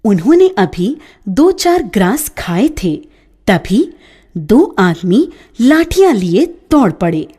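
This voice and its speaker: A woman narrating a children's story in a scripted storybook style, with an expressive, lilting delivery. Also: medium pitch, slow pace, breathy edge.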